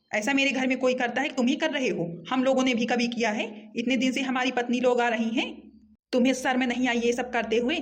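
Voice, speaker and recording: A woman's voice: on the high side, close to an everyday voice. A woman voicing a domineering, sarcastic elder brother-in-law in an amateur cartoon comedy. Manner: sarcastic, hectoring.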